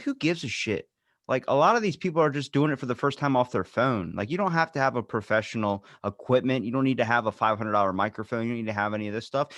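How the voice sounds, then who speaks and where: A man's voice: medium pitch, fairly bright tone, no room sound, studio quality. A man in his twenties hosting a podcast, talking bluntly and swearing often in a casual rant. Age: 20s. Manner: blunt, swears a lot, ranting. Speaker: podcast host.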